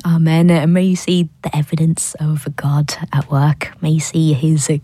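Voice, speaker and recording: A woman hosting a prayer hour on the radio, reading out listeners' requests softly and hesitantly, with many fillers. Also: sits low, fairly even intonation, moderate pace, breathy edge.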